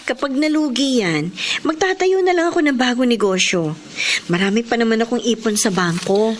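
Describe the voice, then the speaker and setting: A woman's voice: on the high side, lively intonation, moderate pace, noisy background, natural voice. An actress with a Filipino accent playing a devoted woman caring for a sick friend in a radio drama, earnest, imploring and emotional. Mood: firm, devoted.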